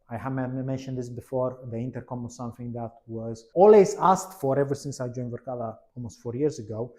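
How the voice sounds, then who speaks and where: A man's voice: medium pitch, dark tone. A man narrating a product demo in a conversational, explanatory way, stressing key words as he presents.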